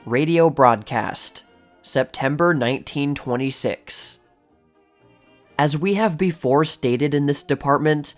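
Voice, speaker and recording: Man reading aloud over music. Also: a little high. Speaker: channel narrator reading a vintage magazine article. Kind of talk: scripted narration, read-aloud article.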